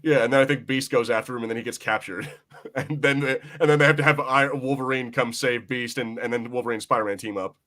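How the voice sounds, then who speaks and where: A man speaks in a bright, punchy voice at a quick clip. He rambles excitedly through casual podcast chat and swears freely. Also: medium pitch. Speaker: podcast host.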